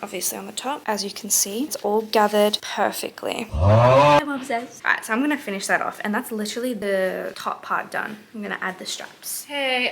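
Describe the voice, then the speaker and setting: Young aussie girl sewing at home, super chatty, says like a lot, bit of background hiss. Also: mid-range voice. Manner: chatty, excitable, lots of "like" fillers, swears casually. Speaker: sewing vlogger. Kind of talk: casual sewing vlog chat.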